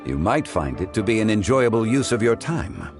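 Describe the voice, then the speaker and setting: An actor playing a composed, scholarly gentleman in a video game, speaking in formal, courteous phrases. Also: lowish voice, very animated, normal speed, long reverb tail, over music. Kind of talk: scripted video game dialogue.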